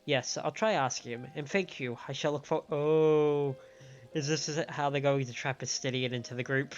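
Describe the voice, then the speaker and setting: A man hosting a game stream, reading story dialogue aloud in a measured way with small stumbles and adding his own commentary. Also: fairly high pitch, fairly even intonation, muffled recording. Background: game music.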